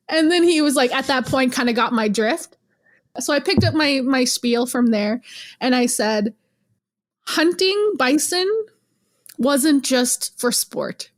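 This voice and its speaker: A young woman from Canada, a guest in an interview, telling stories in a lively, teasing way and laughing often. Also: sits high. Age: young adult. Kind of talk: casual interview.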